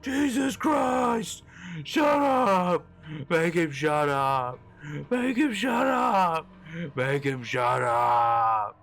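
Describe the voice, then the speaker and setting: A man performs a young man coming apart with fear in an audio horror story. The voice is frantic, paranoid and pleading, with a gravelly edge and wildly swinging pitch, over music. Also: animated, extremely slow, a bit bright, exaggerated voice. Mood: screaming panic. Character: terrified young man losing his mind, paranoid and raving.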